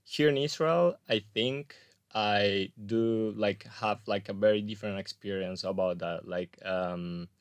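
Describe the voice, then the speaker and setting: A young man from Colombia speaks in a relaxed interview, pausing often and restarting his sentences. His voice sits a little low. The recording is dry and close. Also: clear, resonant tone, no echo. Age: young adult. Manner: hesitant, many fillers and restarts. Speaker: graduate student guest. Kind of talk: casual interview chat.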